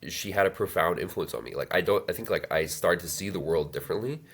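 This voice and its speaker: A man in his thirties telling his life story as an interview guest, halting with many fillers and restarts. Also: deep voice, fairly bright tone. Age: 30s. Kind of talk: reflective personal storytelling.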